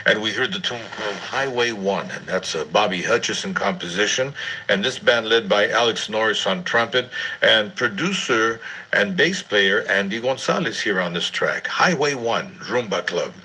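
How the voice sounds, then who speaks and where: Male radio DJ, lowish pitch, bright but husky, jazz show presenting. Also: animated, normal speed, low-quality recording.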